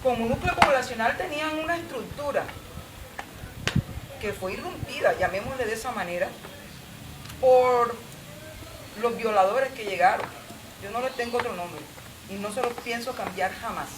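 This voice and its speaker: A woman's voice: a little high, normal speed, long pauses, background noise. Proud woman, distant and roomy. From Colombia. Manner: emphatic, proud, storytelling. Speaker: panelist at a community forum. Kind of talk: impassioned personal talk. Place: outdoor patio.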